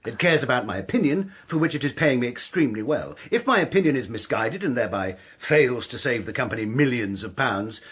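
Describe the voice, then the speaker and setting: An English actor playing a stiff, pedantic middle-aged male barrister who narrates in a dry, clipped, deadpan manner for a radio comedy drama. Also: medium pitch, a little fast, few pauses, husky, no room sound.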